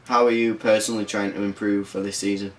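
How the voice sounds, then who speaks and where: A man's voice: on the low side, little pitch movement, fast talker, slight background noise. A man putting short interview questions to a rugby player.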